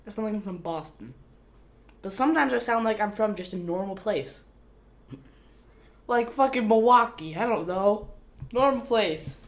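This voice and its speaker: A young woman co-hosting a casual chat, blunt and lively, swearing freely. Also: mid-range voice, normal speed, pauses often. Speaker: co-host.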